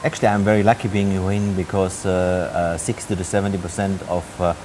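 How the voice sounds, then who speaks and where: Austrian-accented middle-aged man, very low voice, quick but halting delivery, hiss under the track. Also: flat delivery. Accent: Austrian German. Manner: hesitant, many fillers and restarts. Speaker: hotel general manager. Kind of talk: interview answers.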